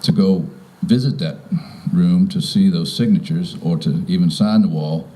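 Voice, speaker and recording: A man in his fifties or older from Virginia giving an informal, halting welcome speech as a property owner. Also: low voice, mellow, poor audio. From Virginia, United States. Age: 50s or older. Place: outdoors in front of a house.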